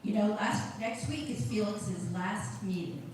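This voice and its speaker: A woman giving brisk, practical announcements about upcoming club events. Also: medium pitch, a little mellow. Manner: brisk, practical, repeating key points. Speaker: club member making announcements. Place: large echoey meeting room. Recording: echoey hall, distant mic, background noise.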